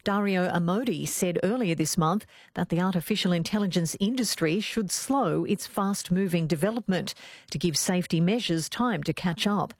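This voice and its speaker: A woman reading a scripted news bulletin in a measured, even way. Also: on the low side, no room sound, clean studio sound. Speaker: newsreader. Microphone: studio microphone.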